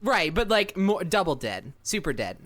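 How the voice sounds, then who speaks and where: A woman's voice: lowish voice, big pitch swings, moderate pace, fairly bright tone. A woman improvising in-character comedy banter as a player in a story, often repeating her phrases.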